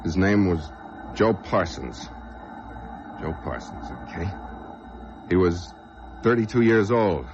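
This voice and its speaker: An actor playing a desperate, brooding fugitive killer in an old-time radio crime drama, given to overwrought outbursts. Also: male voice, low-pitched, animated, slow, pauses often, over music. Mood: brooding, tense. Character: desperate fugitive man who killed a truck driver. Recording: dull, noisy old radio recording.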